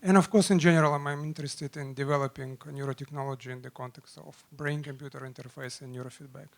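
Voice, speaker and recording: A man's voice: medium pitch, steady tone, quick pace, creaky. A man on a panel describing his research interests in a hesitant way, with many fillers. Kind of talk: self-introduction on a panel.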